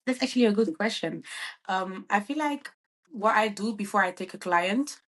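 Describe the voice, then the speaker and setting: A woman's voice: mid-range voice, expressive, moderate pace. A bubbly woman. She answers interview questions casually, with frequent ums and uhs. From Netherlands. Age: 20s. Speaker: guest, event planner.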